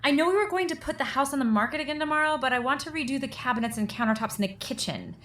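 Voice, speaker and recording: A woman's voice: high-pitched, racing, fairly bright tone. A woman acting a headstrong single homeowner in a romantic comedy radio play, both in scenes and as the wry, playful narrator. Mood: brisk, determined. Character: headstrong single woman renovating her inherited house, narrating her story.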